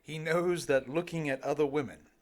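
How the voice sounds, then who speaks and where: A man's voice: a little high, moderate pace. A middle-aged man narrating his own self-help audiobook with light tongue-in-cheek humour, speaking straight to his listeners. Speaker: author reading own audiobook.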